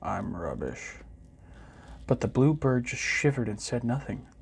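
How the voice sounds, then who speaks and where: A man reading a children's picture book aloud in an expressive storytelling manner. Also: mid-range voice, normal speed, breathy edge. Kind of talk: children's story time narration.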